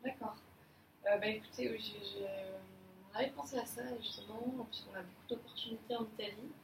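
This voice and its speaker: A woman's voice: medium pitch, steady tone, a little fast, close to an everyday voice. A woman playing a courteous manager in a mock job appraisal, speaking formally but with frequent fillers. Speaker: manager in an appraisal role-play. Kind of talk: amateur role-play of a job interview. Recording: echoey room, mic far off, clear noise.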